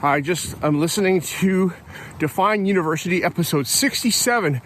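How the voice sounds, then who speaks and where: A middle-aged Canadian man with a slightly raised pitch rambles casually, full of fillers and restarts, as he walks outdoors at dawn. Faint traffic and outdoor hiss sit behind his phone-recorded voice. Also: normal speed, fairly bright tone. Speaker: podcast host. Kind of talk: casual spoken reflection. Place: outdoors on an early morning walk.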